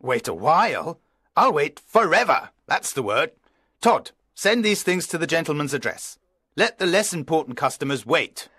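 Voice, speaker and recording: A man reading a story voices an excitable, fawning male shop owner. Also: fairly high pitch, moderate pace, breathy edge, no echo, clean studio sound, pitched above the performer's own voice, exaggerated voice.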